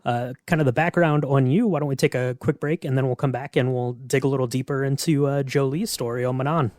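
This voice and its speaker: A man's voice: mid-range voice, fairly even intonation, brisk, darkish tone, no echo, studio quality. A man hosting a podcast, asking questions and chatting in a casual interview style. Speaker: podcast host.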